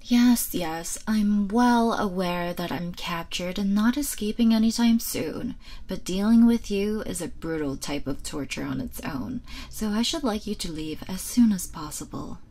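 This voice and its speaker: Woman acting a breathy, haughty captive royal. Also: mid-range voice, dark tone. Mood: scornful. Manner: haughty, taunting, theatrical, deliberate. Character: proud, sardonic captive royal woman, sharp-tongued enemy. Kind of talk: roleplay audio drama, ASMR.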